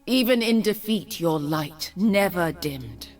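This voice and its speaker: An actress voicing a regal, composed mage-politician from a noble family in a video game, with formal, measured, haughty speech. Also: female voice, on the low side, expressive, faint music behind. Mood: solemn respect. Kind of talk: scripted video game voice lines.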